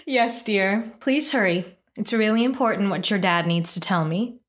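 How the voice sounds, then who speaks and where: A woman's voice: medium pitch, moderate pace, natural voice. A woman playing a loving, cheerful farm wife and mother in an audio drama, sweet and giggly in manner.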